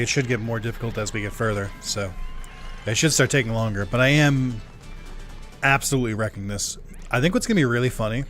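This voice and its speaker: A young man streaming a game live, chatting loosely with many fillers while his voice starts to go hoarse. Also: mid-range voice, fairly bright tone. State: voice going hoarse. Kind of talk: casual live-stream chat while gaming.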